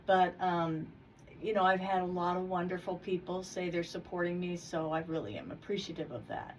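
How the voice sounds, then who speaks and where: Hoarse woman candidate, plainspoken, kinda far from the mic, light hiss. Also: on the low side, moderate pace. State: hoarse from congestion. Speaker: state house candidate. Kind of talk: radio interview. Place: radio studio. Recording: faint noise, off-mic in studio.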